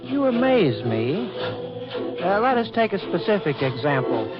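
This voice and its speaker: An actor playing an earnest, naive government official with a formal, precise manner in a 1940s radio comedy. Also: male voice, high-pitched, very animated, unhurried. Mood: stiff, earnest. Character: stiff, polite, earnest male government official, naive about romance. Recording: dull vintage radio recording with music behind.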